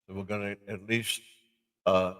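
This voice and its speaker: A man hosting a livestream in casual chat, halting and repeating himself as he goes. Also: fairly low pitch, flat delivery, a bit bright. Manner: repetitive, halting. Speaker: livestream host.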